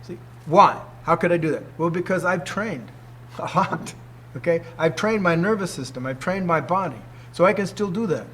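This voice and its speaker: A man's voice: a little high, animated, normal speed, hardly any pauses, a bit bright, slight background noise. Emphatic older man teaching students. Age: 60s. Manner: emphatic, rhetorical questions, laughs often. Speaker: martial arts teacher. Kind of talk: informal teaching talk to students.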